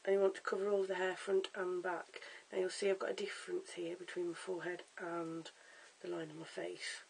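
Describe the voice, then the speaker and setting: A hoarse, croaky-voiced vlogger giving a casual at-home wig tutorial in a chatty, stop-start way, filmed on a camera's own mic. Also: medium pitch, completely flat delivery, a little fast, darkish tone, rough recording. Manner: chatty, stop-start with fillers and self-corrections. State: hoarse, lost voice. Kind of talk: casual how-to demonstration.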